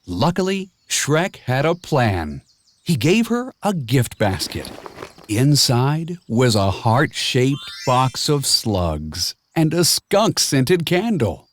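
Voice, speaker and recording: Animated male storybook narrator, slow-paced. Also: mid-range voice, no room sound. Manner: measured, expressive storytelling with clear simple phrasing. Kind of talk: scripted children's read-along storybook narration.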